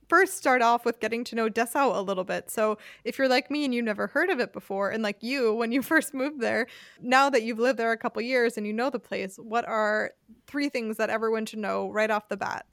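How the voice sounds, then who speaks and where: Higher-voiced woman hosting a chatty podcast. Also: a little fast, a bit bright, no room sound, studio quality. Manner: enthusiastic, chatty. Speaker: podcast host.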